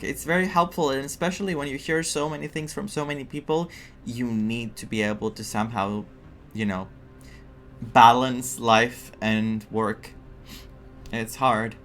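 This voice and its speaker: A man streams a game with casual commentary. He talks slowly and hesitantly, thinking aloud, very invested, over faint game music. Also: medium pitch, fairly bright tone.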